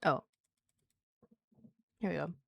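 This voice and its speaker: A woman streamer chatting casually with her viewers, with a soft, soothing voice and a chatty, giggly manner. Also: slow pace, no echo. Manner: chatty and giggly, talks to chat by name.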